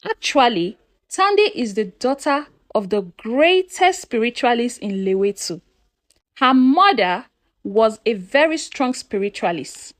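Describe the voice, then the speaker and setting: A woman's voice: a little high, big pitch swings, a little slow. A woman narrating a scripted folktale in a dramatic storytelling manner, posing questions to her listeners.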